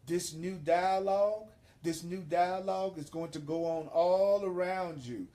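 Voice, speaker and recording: A man giving an inspirational spiritual sermon, speaking emphatically and deliberately with repeated phrases. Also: high-pitched, warm tone. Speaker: spiritual teacher leading a course.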